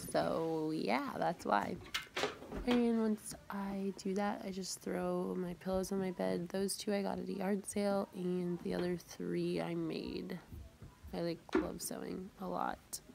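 A young woman narrating her morning routine in a casual, soft-spoken way while getting over a cold. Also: mid-range voice, vocal fry, background noise. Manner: relaxed, soft-spoken, with light laughs. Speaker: vlogger. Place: bedroom at home.

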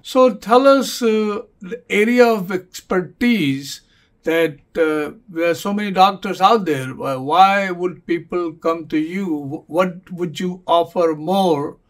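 Guy hosting a tv interview, kinda high voice, lots of uhs and restarts. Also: takes it slowly. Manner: hesitant, many fillers and restarts. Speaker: interviewer, TV host. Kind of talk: casual interview questions.

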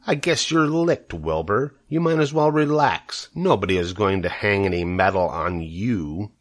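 A man voicing a gloating, greedy male rat with a husky, slow drawl. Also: sits low, expressive. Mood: sneering.

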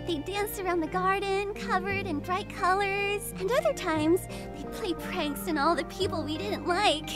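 A woman voicing Cornelia, a gentle, dreamy young girl who talks softly and sometimes whispers, heard as game audio with music behind. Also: very high voice, a little slow, few pauses. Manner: soft, childlike, sometimes whispering. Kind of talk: voiced game dialogue.

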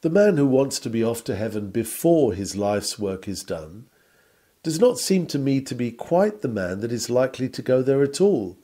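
A man reading an old-fashioned sermon aloud in an earnest, measured, oratorical way. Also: medium pitch, very animated, mellow. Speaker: sermon reader.

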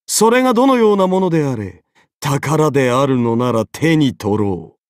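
A man's voice: mid-range voice, lively intonation, moderate pace, no room sound, studio quality, close to an everyday voice. An actor playing a haughty, easily bored golden-armored king in an anime game, pompous, imperious and condescending. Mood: arrogant, condescending. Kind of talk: dramatic anime game character voice acting.

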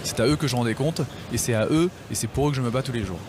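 A man's voice: mid-range voice, rapid-fire. A man who is a mayor, answering a radio interview with firm, fluent arguments at a trade fair stand. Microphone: table radio mic with headphones. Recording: noisy trade fair hall.

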